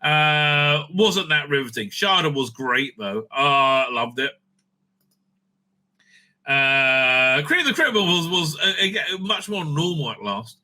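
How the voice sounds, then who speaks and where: Man, very sharp bright voice, slightly high pitch, blunt reviewer, rambling, opinionated, repetitive, sweary. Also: expressive, takes it slowly. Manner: blunt, dismissive, repeats himself, sweary. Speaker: YouTube host and reviewer. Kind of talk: rambling, opinionated off-the-cuff commentary.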